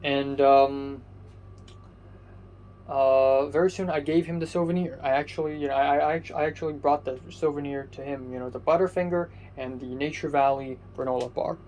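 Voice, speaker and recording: A man's voice: medium pitch, steady tone, normal speed, a little mellow, a little hiss or hum. A young man from Hong Kong whose first language is Cantonese, casually telling a rambling story with many fillers, restarts and casual swearing. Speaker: vlogger.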